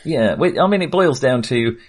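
A man's voice: medium pitch, animated, normal speed. A man co-hosting a casual film discussion in a conversational, hedging manner. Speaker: film podcast co-host.

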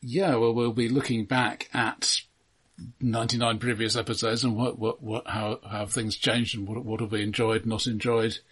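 Dry-witted man with a slightly husky voice. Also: medium pitch, level tone, moderate pace, a bit bright. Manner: dry, wry humour. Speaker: podcast co-host. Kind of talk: casual film discussion, reading out a chart countdown.

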